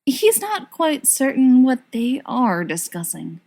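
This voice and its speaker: A woman's voice: high voice, very animated, slow pace, darkish tone. A woman reading a story aloud as scripted narration, in the manner of an audiobook reader.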